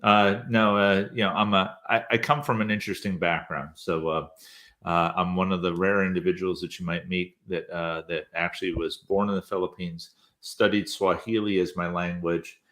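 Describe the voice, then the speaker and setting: Man in his 50s, very low voice, easygoing, hesitant fillers, rambling interview talk. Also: fairly even intonation, moderate pace, a bit bright. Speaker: podcast host, interview guest. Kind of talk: rambling conversational storytelling.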